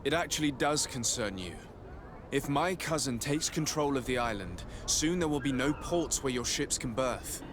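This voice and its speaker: Guy playing earnest young lord in a game, formal n serious, medium pitch, some background noise. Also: lively intonation, moderate pace, close to an everyday voice. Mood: firm persuasion. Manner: formal, articulate, grave. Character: earnest young noble envoy seeking allies, measured and resolute. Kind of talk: dramatic video game dialogue.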